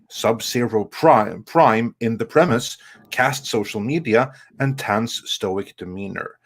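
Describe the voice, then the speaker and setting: A man co-hosting a live stream panel, reading out viewer messages and commenting, with a halting delivery that stretches some words. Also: fairly low pitch.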